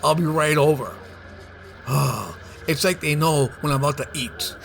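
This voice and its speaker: Man playing a crude, highish-voiced glutton. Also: a little slow, vocal fry, a bit bright, slight background noise. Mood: exasperated. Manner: coarse, grumbling, joking. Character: crude, jokey, irritable male glutton. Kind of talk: comedy audio drama acting.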